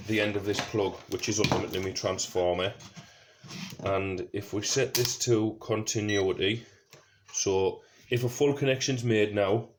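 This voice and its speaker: A man with a fairly low voice presents a casual how-to. He talks through each step as he works, thinking aloud as he goes. There is some faint noise on the amateur recording. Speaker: presenter of a DIY car project video. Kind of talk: casual hands-on tutorial talk. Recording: noisy amateur recording with clicks.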